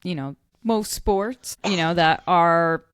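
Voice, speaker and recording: A young woman with a fairly low voice talks casually on a fitness podcast, full of "like" and little acted-out quotes of other people. The sound is close and dry, with no room around it. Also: slow pace, a bit bright, clear, resonant tone, no room sound. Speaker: podcast co-host. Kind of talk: casual chat.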